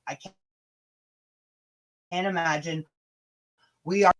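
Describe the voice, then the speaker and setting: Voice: medium pitch, fairly even intonation, slow, a bit bright. A livestream host chatting casually and reading messages aloud, blunt and sweary, stumbling and rereading as they go.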